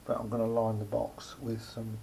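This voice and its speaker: Creaky-voiced man, halting hands-on demonstration. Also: lowish voice, completely flat delivery, dark tone. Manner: halting, pausing mid-phrase. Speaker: hobbyist showing a homemade radio aerial project. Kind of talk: casual unscripted hands-on demonstration. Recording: faint background noise, amateur camera recording.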